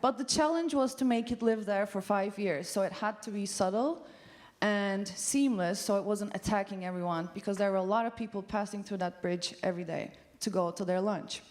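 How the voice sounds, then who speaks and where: Woman, 30s, from Turkey, festival stage talk, mid-pitched, casual with fillers. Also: normal speed, a little mellow. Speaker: studio co-founder giving a festival talk. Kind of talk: conference stage presentation. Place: large festival venue stage.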